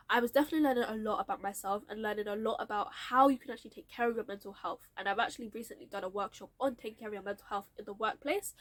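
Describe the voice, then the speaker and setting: A young adult woman with a nasal, blocked-nose voice, telling her career story to camera in a chatty, frank way and laughing often. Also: a little high. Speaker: vlogger.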